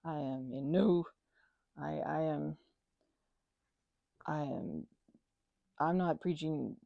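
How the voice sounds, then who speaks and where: A woman playing a goofy, caring, scatterbrained best friend in a casual ASMR roleplay, with soft, rambling, halting speech. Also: low voice, vocal fry, no echo, muffled recording, heavily acted. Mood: earnest, subdued. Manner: rambling, halting, self-interrupting, giggly.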